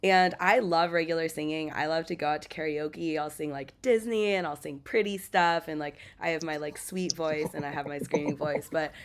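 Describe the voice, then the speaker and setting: A woman's voice: low-pitched, fairly even intonation, moderate pace, few pauses. Chatty young woman, metal vocalist. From United States. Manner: chatty, many "like" and "um" fillers. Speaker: metal band vocalist, podcast guest. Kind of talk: casual interview chat.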